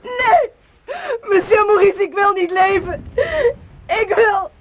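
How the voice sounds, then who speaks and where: A woman's voice: very high-pitched, takes it slowly, dull audio. An actress in a radio drama playing an anguished, grieving woman who wails, pleads and begs to die. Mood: despairing. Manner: wailing, pleading, swings from whisper to scream. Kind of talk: emotional radio drama acting. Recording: noisy old radio play recording.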